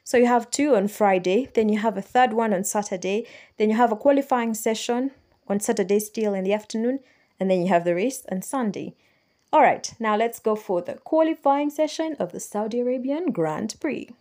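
A woman's voice: a little high, dark tone. Ugandan woman hosting a chatty sports podcast. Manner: chatty, explains slowly for newcomers, corrects own slips. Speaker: podcast host. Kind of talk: solo podcast commentary.

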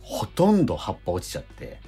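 Radio co-host, man, rambling animated chat with lots of fillers, swinging pitch, faint music under it. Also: quick pace, breathy edge. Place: radio studio.

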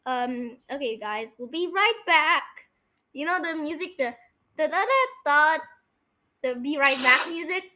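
A female-sounding young host with a high, bright voice. The delivery is casual and excitable, like friends chatting over a game, with phrases often repeated. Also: measured pace. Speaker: podcast host. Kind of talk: casual game chat, guessing voice lines.

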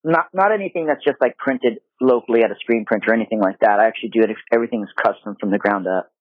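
A man who grew up in Japan talks quickly and loosely in a casual interview, full of likes and you-knows. The sound is dry and squeezed, like a phone or call line, with no room around it. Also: lowish voice, dry recording, narrow, tinny audio. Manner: relaxed, talkative, lots of fillers. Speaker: guest, apparel designer.

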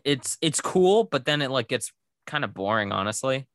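A man's voice: medium pitch, lively intonation, normal speed, no echo, clean studio sound. A man co-hosting a casual podcast chat, offhand and laid-back, with many fillers. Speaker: podcast co-host. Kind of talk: casual chat reviewing a film.

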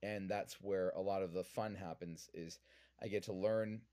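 A man's voice: low voice, steady tone, moderate pace, warm tone, no echo, clean studio sound. A man from Vancouver with a Canadian accent, answering interview questions conversationally as a podcast guest. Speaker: podcast guest, whiskey influencer.

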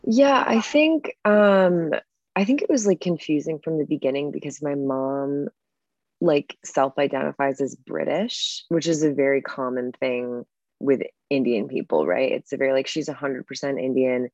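A woman's voice: a bass voice, normal speed, dry recording. Woman, stand-up comic, casual chat, lots of fillers, dry, dull sound. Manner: relaxed, chatty, many "like" fillers. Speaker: stand-up comedian, podcast guest. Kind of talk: casual conversational interview.

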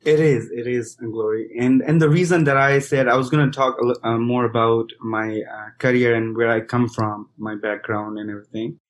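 A man's voice: mid-range voice, fairly even intonation, normal speed, hardly any pauses. Interview guest, man from India, 30s, thoughtful, restarts often. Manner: hesitant, many restarts and fillers. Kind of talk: conversational interview answers.

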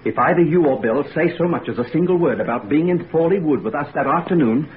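A man playing a smooth-talking young student. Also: fairly high pitch, expressive, quick pace, close to an everyday voice. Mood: coaxing. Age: young adult. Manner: coaxing, persuasive. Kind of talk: 1940s radio acting. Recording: tinny old radio broadcast, faint hiss.

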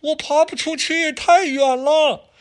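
A man voicing a young boy stuck down a drain, with a high, whiny, wailing voice. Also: steady tone, slow. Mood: plaintive.

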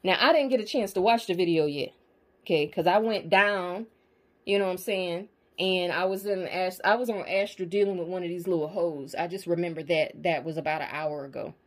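Emphatic low-voiced woman talking to viewers. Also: fairly quick. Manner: emphatic, profane, asides to the audience. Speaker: spiritual vlogger. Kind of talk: casual monologue to viewers.

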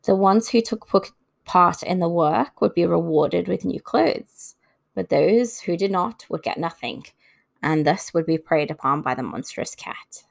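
A woman's voice: fairly low pitch, lively intonation, measured pace, vocal fry. A woman hosting an informal talk on folklore, reading from notes and adding casual asides. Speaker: host. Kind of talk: informal scripted narration about folklore.

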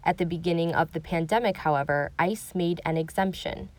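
A woman hosts a news segment in a measured, scripted read. Her voice sits a little low, and the recording is dry and close, with no room around it. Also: dry recording. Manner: measured, even reporting delivery. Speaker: radio reporter and host.

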